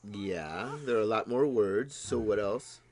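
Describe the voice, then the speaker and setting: A man's voice: on the low side, unhurried, a crowd in the background. Needling, plain-spoken blue-collar man. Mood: sardonic, challenging. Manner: plain-spoken, sardonic, argumentative. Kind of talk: stage-style audio drama acting.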